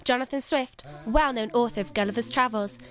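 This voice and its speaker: A woman's voice: fairly high pitch, fairly quick, fairly bright tone, faint music behind, natural voice. A young woman playing a formal announcer in a scripted radio play. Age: young adult.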